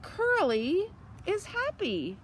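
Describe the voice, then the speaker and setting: A woman's voice: very high-pitched, huge pitch swings, drawn-out words, a little hiss or hum. A woman reading a picture book aloud for young children, explaining simply and expressively as she goes. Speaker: children's story reader.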